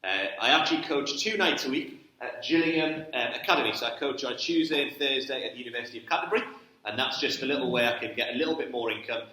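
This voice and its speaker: A man's voice: medium pitch, fast talker, sharp tone. A man in his thirties with a Geordie accent, from Newcastle, giving a chatty church talk about sports ministry and often checking in with "okay?". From Newcastle, England. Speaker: guest speaker, sports ministry director. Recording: very echoey church hall.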